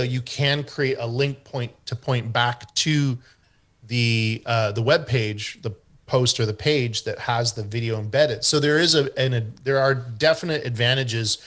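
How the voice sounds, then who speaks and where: Canadian male SEO guest, easygoing explainer, mid-pitched voice. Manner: relaxed and explanatory, with frequent fillers and restarts. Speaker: guest expert on website SEO. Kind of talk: explaining during an online group meeting.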